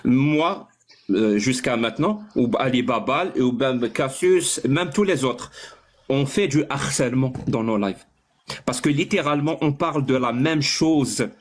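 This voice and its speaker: A man's voice: mid-range voice, lively intonation, a little slow. A man arguing in a heated online debate, insistent and pressing, often stumbling over and repeating words as he pushes his point. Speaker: debate participant.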